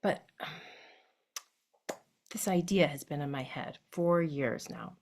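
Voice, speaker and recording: A woman's voice: low voice, takes it slowly, a little mellow, a little hiss or hum. Woman in a reflective stage monologue. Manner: reflective, addressing her late grandfather directly. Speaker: solo stage performer. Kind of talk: autobiographical monologue performance.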